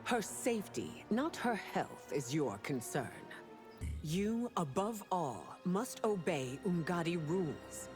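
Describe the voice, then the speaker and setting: An actress playing a regal, stern warrior empress and mother in a video game, formal and measured. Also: on the low side, sing-song. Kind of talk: video game character dialogue. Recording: loud music behind the dialogue. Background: music.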